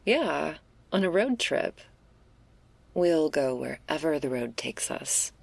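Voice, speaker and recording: A woman playing a laid-back, restless stoner werewolf in an intimate ASMR roleplay, husky and gravelly, confiding in a casual, hesitant, slangy way. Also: low-pitched, sing-song, takes it slowly, dry recording, full character voice. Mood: coaxing, flirty.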